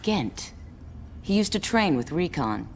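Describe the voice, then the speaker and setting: A woman's voice: lowish voice, big pitch swings, slow pace, slight background noise, full character voice. An actress playing a cool, tough female soldier in a video game, terse and matter-of-fact. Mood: wary. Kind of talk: game cutscene acting.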